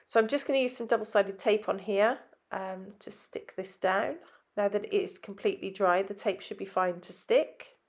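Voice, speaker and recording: A woman's voice: medium pitch, moderate pace, vocal fry. A woman designer narrating a scrapbooking tutorial in a chatty, step-by-step way, sounding nasal from a head cold. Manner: chatty, explains step by step with frequent ums.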